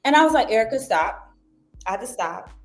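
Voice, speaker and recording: Young woman podcast guest, animated and chatty, acts out self-talk, faint music behind. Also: fairly high pitch, brisk. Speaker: guest journalist. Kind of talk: casual podcast conversation.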